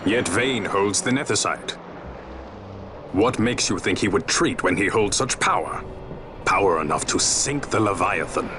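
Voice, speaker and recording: Low-voiced, eloquent, wary adventurer. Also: male voice, expressive, unhurried, background noise. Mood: challenging. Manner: eloquent, cool, archaic phrasing. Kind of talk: fantasy game cutscene acting.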